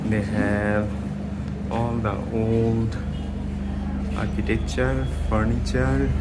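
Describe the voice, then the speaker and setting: A man, a travel vlogger, calmly pointing things out to a handheld camera while walking around a city. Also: lowish voice, loud music behind the voice. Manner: unhurried, tour-guide pointing things out. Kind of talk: casual travel vlog commentary. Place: busy city streets and old building, outdoors and in. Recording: loud crowd noise behind.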